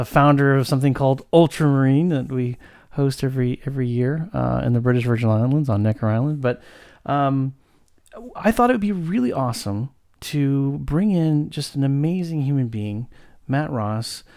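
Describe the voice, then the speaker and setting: A man's voice: mid-range voice, darkish tone, smooth, no echo. Casual male host, close dry sound. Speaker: interview host, company founder. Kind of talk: casual conversational interview hosting. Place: remote video call from Texas.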